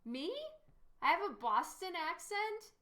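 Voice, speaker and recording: A woman's voice: very high-pitched, very animated, measured pace, sharp tone. A woman artist casually chatting with stream viewers while she paints, giggling often and answering their questions.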